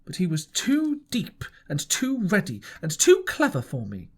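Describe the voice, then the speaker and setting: A man reading a classic novel aloud as an audiobook, with expressive storytelling. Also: high-pitched, a little slow. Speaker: audiobook reader.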